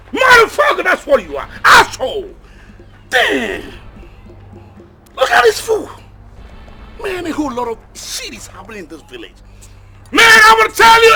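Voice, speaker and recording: Raging, cursing village thug. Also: male voice, very high voice, creaky, heavily acted. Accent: Nigerian. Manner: shouting, cursing, threatening. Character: hot-tempered self-proclaimed bad guy in a village, man. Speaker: village bully. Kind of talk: film acting.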